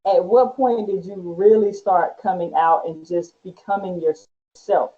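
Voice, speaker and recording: A woman's voice: lowish voice, fairly even intonation, a little slow. A woman hosting an interview, asking questions and answering with short encouragements like "wow". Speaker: host. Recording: dull lo-fi sound.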